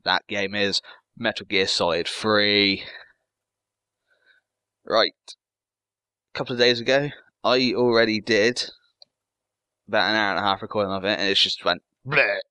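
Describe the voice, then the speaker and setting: A man's voice: fairly low pitch, measured pace, long pauses, pushed and bright, clean tone, no room sound. A man giving casual let's-play commentary as a gaming host, rambling and jokey with some swearing. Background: game music.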